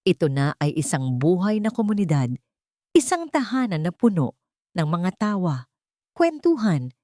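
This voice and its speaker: A woman storyteller speaks in a fairly low voice that rises and falls a great deal. She narrates solemnly and sentimentally, with deliberate phrasing. The sound is dry, with no room around it. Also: darkish tone, dry recording. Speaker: storyteller, host of an audio story channel. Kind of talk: scripted dramatic story narration.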